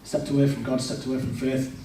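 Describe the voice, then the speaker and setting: A man's voice: medium pitch, completely flat delivery, quick pace, mellow, slight background noise. A young man giving a candid, self-deprecating personal testimony in a church, with frequent restarts. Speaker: church speaker. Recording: echoey church hall, mic far off.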